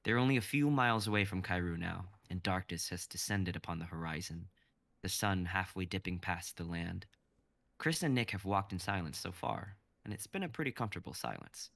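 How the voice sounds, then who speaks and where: Gravelly low male narrator. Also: fairly quick, dry recording. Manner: even, descriptive, with stage directions. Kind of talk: reading a script aloud.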